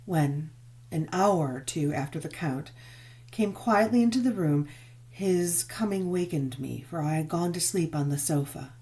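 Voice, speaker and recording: Woman audiobook reader, soft mellow tone, lowish voice, expressive gothic storytelling w drawn-out words. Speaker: audiobook narrator. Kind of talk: dramatic scripted narration of a gothic novel.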